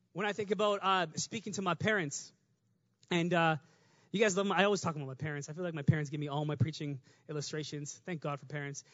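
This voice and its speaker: A male preacher with a high-pitched voice, talking quickly in an easy, conversational way. He builds in volume as his points land. The sound is dry and slightly muffled. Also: no echo. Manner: conversational, fast, builds to shouting. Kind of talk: sermon.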